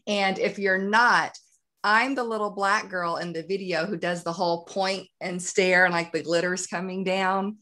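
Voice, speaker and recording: A woman speaks as a panel guest over a video call, her voice sitting a bit low. She tells a personal story in a lively, light-hearted way. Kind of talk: casual storytelling.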